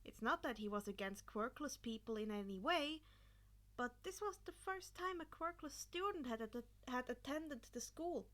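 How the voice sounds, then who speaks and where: A woman's voice: high-pitched, expressive, moderate pace, fairly bright tone. A woman narrating a scripted story as a reader of fan fiction.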